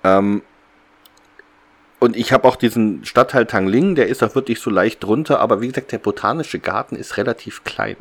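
Low-voiced man in casual podcast talk. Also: normal speed. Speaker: podcast host.

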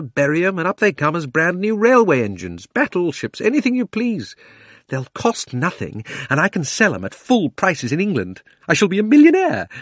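A man voicing a pompous, greedy elderly magician in his sixties, blustering and gloating with shrill exclamations. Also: a bit bright, no echo, clean studio sound, heavily acted. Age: 60s.

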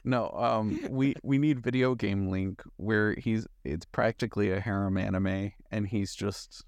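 Gravelly, lower-voiced young man chatting. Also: fairly even intonation, unhurried, darkish tone, no echo. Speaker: podcast co-host. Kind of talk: casual chat about films.

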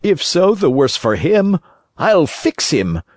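A man's voice: mid-range voice, huge pitch swings, normal speed, slightly rough, dry recording, clean studio sound. A man voicing a nervous old carpenter in a storybook who mumbles to himself and laughs uneasily. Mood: frightened. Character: old carpenter, jittery and easily scared.

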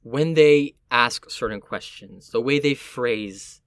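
A man from Miami with a Puerto Rican family, talking casually and reflectively, often halting as he searches for words. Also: medium pitch, clear, resonant tone, dry recording.

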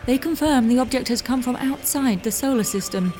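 A woman's voice: fairly high pitch, brisk, warm tone, close to an everyday voice. A woman playing a formal, businesslike military radio operator in an audio drama. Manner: formal, reporting.

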